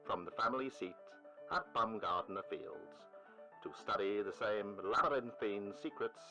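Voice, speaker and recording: A man doing a pompous, low-voiced newsreel announcer. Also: measured pace, vocal fry, bright, forceful tone, full character voice. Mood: solemn, grandiose. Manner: plummy, florid, mock-solemn formality. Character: pompous, formal old-time newsreel announcer and interviewer. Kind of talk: vintage newsreel narration parody. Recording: music playing behind the voice.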